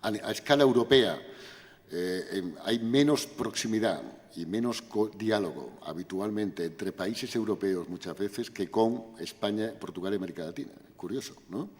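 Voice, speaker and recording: An older man, answering questions at an academic conference in a measured, lecturing way with frequent tag questions. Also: on the low side, in a large hall. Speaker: invited speaker at academic conference. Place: university auditorium.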